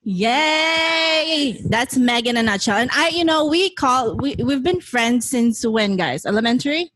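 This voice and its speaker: A woman's voice: high voice, animated, unhurried, fairly bright tone, dry recording. A woman in her thirties hosting a podcast in casual chat, talking in a chatty way with lots of "you know" fillers, recorded in a bedroom at a friend's home. She sits among friends as she talks. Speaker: podcast host.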